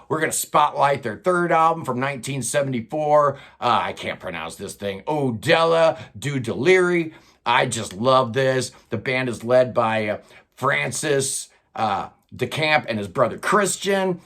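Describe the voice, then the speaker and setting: A man's voice: medium pitch, creaky, a bit bright. An older man hosting a prog rock countdown from a record-filled home studio, talking in a loose, enthusiastic, casual way with plenty of fillers. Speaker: host of a prog rock channel.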